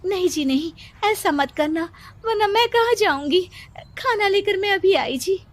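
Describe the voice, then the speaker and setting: Frightened cartoon village wife, acted by a woman; high, wailing, imploring. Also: lively intonation, unhurried. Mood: anxious, submissive. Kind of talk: Hindi cartoon character acting.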